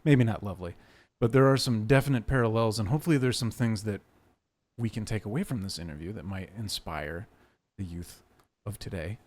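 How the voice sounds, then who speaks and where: A man's voice: on the low side, a little fast, darkish tone. A young adult man hosting his podcast from a home webcam setup, chatty and joking, rambling with fillers. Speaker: podcast host. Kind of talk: casual interview chat.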